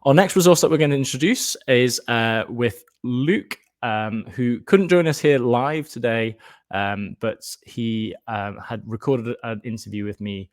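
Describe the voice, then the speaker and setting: A man's voice: medium pitch, unhurried. A man hosting an informal online presentation of an educational resource, speaking in an enthusiastic but hesitant, discursive way.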